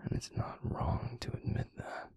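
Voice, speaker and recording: A man playing a tender, protective werewolf boyfriend in an intimate roleplay audio drama, speaking in a hushed, breathy, pleading way. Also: measured pace, no room sound, whispered, lightly acted. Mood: tender, reassuring. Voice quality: breathy, gravelly, husky low voice. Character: tender, protective, stubbornly caring adult male werewolf boyfriend.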